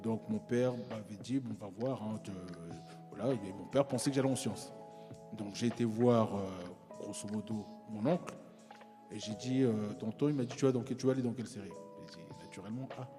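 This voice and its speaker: A man's voice: lowish voice, fairly even intonation, brisk, in a large hall, music in the background. A man guest recounting his schooling in conversation, hesitant, with many fillers and restarts. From France, parents from Congo-Brazzaville. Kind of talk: personal storytelling in conversation.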